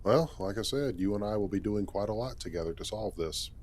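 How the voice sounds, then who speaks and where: A man's voice: on the low side, brisk, a little mellow, close to an everyday voice. A man playing an easygoing, curious spaceship captain in a sci-fi game story, talking casually and thinking aloud. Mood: friendly. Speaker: actor voicing a game character in a fan story. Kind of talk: conversational character acting. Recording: clearly noisy background.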